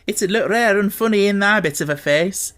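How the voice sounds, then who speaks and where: A man's voice: sits high, moderate pace, fairly bright tone, pitched above the performer's own voice. A man voicing a cheerful, plain-spoken young Yorkshire housemaid in an audiobook, chatting in broad, homely dialect. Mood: fond, chatty.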